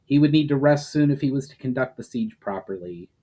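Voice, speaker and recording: A man's voice: medium pitch, animated, brisk, dark tone. Man giving a dramatic fantasy reading. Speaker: author reading own fantasy fiction. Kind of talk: dramatic audiobook narration.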